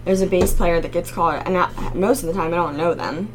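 A young woman with a raspy voice, a singer-songwriter guest chatting casually in an interview with frequent ums. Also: lowish voice, quick pace, slight background noise, low-quality recording. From Maryland, United States.

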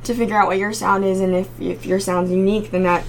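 A young woman with a raspy voice, a singer-songwriter guest chatting casually in an interview with frequent ums. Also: medium pitch, little pitch movement, fast, a little hiss or hum. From Maryland, United States.

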